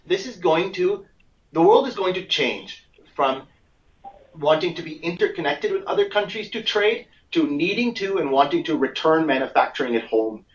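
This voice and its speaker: A man giving explanatory commentary as a guest analyst on a conference call, speaking in a measured, halting way and repeating phrases for emphasis. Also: fairly high pitch, expressive. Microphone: conference call line. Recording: muffled, echoey, far from the mic.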